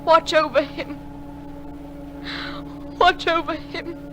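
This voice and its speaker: A woman playing an earnest, tender young woman who narrates a remembered story in a radio drama, measured and full of feeling. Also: very high voice, steady tone, background noise. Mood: solemn.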